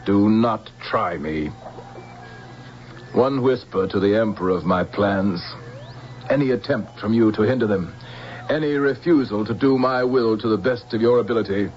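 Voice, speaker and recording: An actor playing a suave, soulless, threatening general scheming for power in a radio drama, speaking with silky formality. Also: male voice, fairly low pitch, close to an everyday voice. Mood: cold menace. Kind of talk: 1970s radio drama acting. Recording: muffled vintage radio recording with music.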